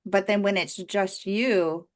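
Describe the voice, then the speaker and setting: A woman coach talks earnestly about faith and pricing, thinking out loud and using many fillers. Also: medium pitch, fairly even intonation, takes it slowly. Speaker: business coach. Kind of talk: faith-based business coaching talk.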